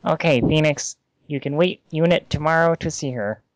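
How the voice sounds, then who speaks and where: A man's voice: on the high side, moderate pace, no echo, close to an everyday voice, poor audio. A man playing a mild, lovestruck teenage schoolboy in an amateur fan fiction read. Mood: casual.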